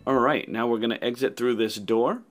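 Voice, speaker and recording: A man's voice: mid-range voice, normal speed. A man giving casual, matter-of-fact commentary as he plays through a video game. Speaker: gaming video host. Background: game music.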